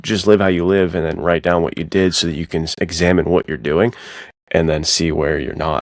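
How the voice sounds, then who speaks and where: A man's voice: low-pitched, fairly even intonation, fairly quick, dry recording, studio quality. A man talking casually as a podcast co-host, slangy and self-deprecating. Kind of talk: casual chat.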